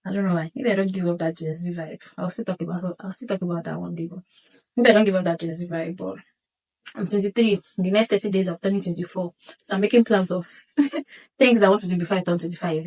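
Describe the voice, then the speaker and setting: Chatty young woman vlogging, seated. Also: lowish voice. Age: 20s. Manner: chatty, rambling, with self-corrections and light laughs. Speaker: vlog host. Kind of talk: casual solo vlog monologue. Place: seated indoors.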